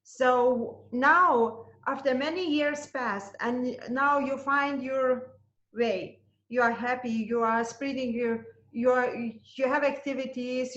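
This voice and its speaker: A woman's voice: fairly high pitch, a bit bright. A woman from Lithuania, with Lithuanian as her first language, hosting an interview and asking questions in a rambling, non-native way. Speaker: interview host. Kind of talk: conversational interview. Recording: roomy, muffled video call audio.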